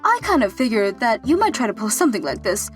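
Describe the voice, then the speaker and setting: Actress as loyal young female employee, manga dub, high pitch, lively swings, assertive, outspoken, reproachful. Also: fast, soft background music. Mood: cool, knowing. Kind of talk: manga dub character acting.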